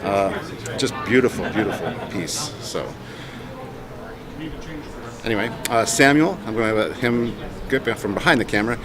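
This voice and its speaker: A man's voice: fairly low pitch, expressive, moderate pace, pauses often. A man shows off a collectible on a city street, speaking enthusiastically close to a handheld camera. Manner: enthusiastic, holding and showing items. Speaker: vlogger showing a collectible. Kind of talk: casual product showcase. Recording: busy street sound. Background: street crowd and traffic.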